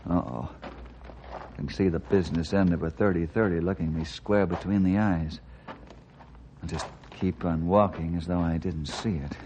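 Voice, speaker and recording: A man's voice: sits low, fairly even intonation, normal speed. A man playing a friendly, patient forest ranger in an old-time radio drama, with a folksy, conciliatory manner. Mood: affable. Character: friendly, calm, well-meaning male forest ranger. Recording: muffled vintage radio recording, faint noise.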